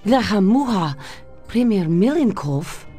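A woman's voice: medium pitch, big pitch swings, a little slow, warm tone, slightly rough, soft background music. A woman playing a cool, seductive Soviet secret agent in a video game, with a Russian accent. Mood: businesslike. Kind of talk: video game cutscene acting.

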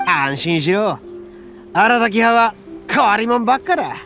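An actor playing a boastful, brash young male oni gang leader in a game cutscene, with a rough, swaggering way of talking and a gravelly edge. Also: high-pitched, lively intonation, a little slow, exaggerated voice. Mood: reassuring. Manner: swaggering, rough-spoken, self-proud. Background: soft game music.

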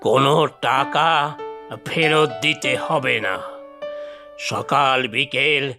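A man voicing an ailing, generous but firm old uncle in a cartoon, speaking in halting, weary phrases. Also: medium pitch, creaky, over music. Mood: insistent. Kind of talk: Bengali cartoon dubbing.

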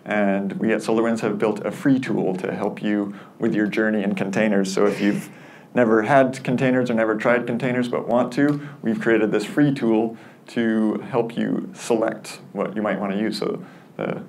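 A man's voice: on the low side, fairly even intonation, moderate pace, creaky, darkish tone, slight background noise. Upbeat man presenting with a headset mic. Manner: friendly, upbeat presenter with self-deprecating humor. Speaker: conference speaker. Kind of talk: lightning talk product demo. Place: conference room with audience.

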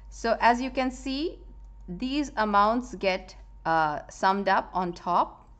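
A woman giving a screen-recorded spreadsheet tutorial, explaining step by step in a calm voice with frequent "uh" fillers. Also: mid-range voice, takes it slowly. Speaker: software tutorial presenter.

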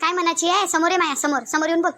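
A sharp-tongued village mother-in-law from a family cartoon comedy, acted by a woman. She speaks very high and quickly in a Varhadi Marathi accent. She is bossy and suspicious, scolding and insisting. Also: steady tone, a little hiss or hum. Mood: aggrieved. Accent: Varhadi (Vidarbha) Marathi.

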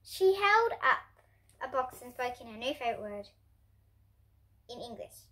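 A young girl of about ten to twelve reading a children's storybook aloud in an expressive way. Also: a little high, measured pace. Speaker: host reading picture books for kids. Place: indoor room in front of bookshelves.

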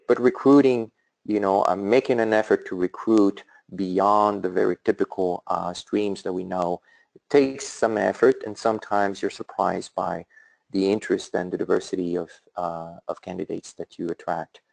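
European accent guy, middle-aged, real low gravelly voice, halting with lots of uh's, webinar talk. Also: steady tone, darkish tone, no echo, poor audio. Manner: thoughtful, halting, with frequent fillers and restarts. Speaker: academic leader presenting. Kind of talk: webinar presentation.

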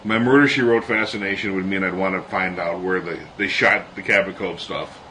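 A man's voice: deep voice, fairly even intonation, brisk, pushed and bright, slight background noise. A man co-hosting a casual political podcast, making wry jokes as he talks. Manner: wry, joking asides. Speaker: podcast co-host.

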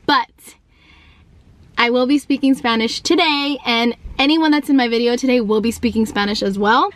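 A woman's voice: a little high, fairly bright tone. Chatty young woman vlogging from a car. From United States. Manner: chatty, upbeat, lots of ums and likes. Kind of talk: casual vlog chat. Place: parked car, indoors at first. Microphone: camera held at arm's length.

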